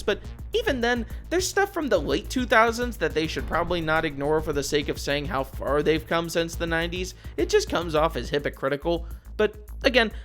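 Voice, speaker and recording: Video essay host, scripted commentary, casual wry asides, middling pitch, music underneath. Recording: music behind the voice. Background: background music.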